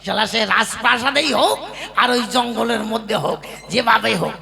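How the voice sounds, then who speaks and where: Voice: mid-range voice, a little fast, vocal fry, sharp tone. A performer voicing a sly, greedy male government clerk in a comic puppet-show cartoon, boastful and cunning. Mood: scheming. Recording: music bed under the voice. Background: music.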